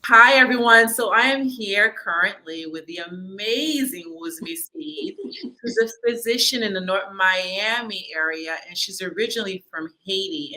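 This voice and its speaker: A woman's voice: mid-range voice, pushed and bright, rough recording. A woman hosting a virtual summit, introducing her guest with upbeat enthusiasm over a video call. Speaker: summit host. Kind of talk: interview introduction.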